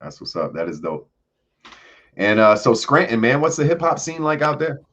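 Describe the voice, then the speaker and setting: A man hosting a casual live show, joking and slangy, shouting out the chat. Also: mid-range voice, big pitch swings, moderate pace, muffled recording. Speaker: host.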